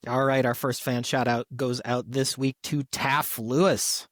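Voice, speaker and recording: A man co-hosting a podcast, chatting casually and adding appreciative asides as he reads out listener shout-outs. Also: mid-range voice, little pitch movement, unhurried, no room sound, clean studio sound. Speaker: podcast co-host.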